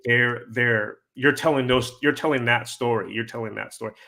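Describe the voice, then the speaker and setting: Bright-voiced man, halting talker. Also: medium pitch, steady tone, vocal fry. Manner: halting, repeating himself. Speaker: interview host. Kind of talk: casual chat.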